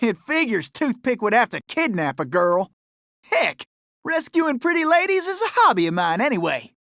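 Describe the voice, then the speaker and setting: An actor voicing a cocky, folksy raccoon gunslinger with a Southern cowboy drawl for an animated video game. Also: male voice, very high voice, moderate pace, no echo, studio quality, heavily acted. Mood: folksy, musing. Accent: American Southern cowboy drawl. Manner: folksy, excitable, exclamatory cowboy slang. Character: cocky, folksy cartoon Old West raccoon gunslinger, male.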